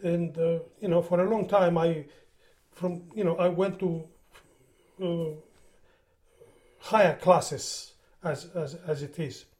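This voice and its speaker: A man's voice: a little high, fairly even intonation, takes it slowly, pauses often, darkish tone. An older man from Greece with a Greek accent, speaking English as a second language, recalling his working life in an interview, often repeating words as he searches for them. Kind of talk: oral history interview.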